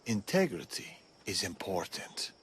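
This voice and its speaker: A man's voice: low voice, sing-song, measured pace, fairly bright tone, slight background noise, exaggerated voice. An actor playing a serious older male assassin mentor who speaks in clipped instructions in a video game. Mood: grave. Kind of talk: video game cutscene acting.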